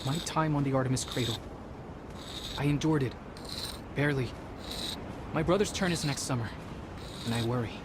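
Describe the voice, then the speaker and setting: A man's voice: medium pitch, moderate pace, pauses often, noisy background, natural voice. An actor playing an earnest, dutiful teenage Spartan boy in a video game, serious and formal, with music underneath. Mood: worried. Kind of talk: dramatic video game acting.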